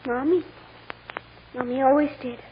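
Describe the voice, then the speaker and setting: High pitched timid little girl on the witness stand, old radio drama, a bit of noise. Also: steady tone, a little slow. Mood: hesitant, anxious. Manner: simple, literal, childlike phrasing. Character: timid, innocent little girl testifying in court. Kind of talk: 1940s radio drama acting. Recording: old radio recording, narrow band, light noise.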